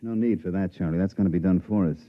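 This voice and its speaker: A man's voice: low voice, quick pace, close to an everyday voice. An actor playing a wry, world-weary, slick huckster who narrates his own tale in a 1950s radio drama. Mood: wry resignation. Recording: dull vintage 1950s radio recording.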